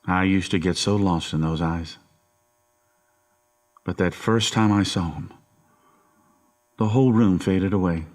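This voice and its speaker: A man's voice: low-pitched, a little slow, long pauses, darkish tone. An actor playing a menacing, controlling middle-aged father in an audio horror drama, with a folksy, coaxing, domineering manner. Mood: wistful, haunted.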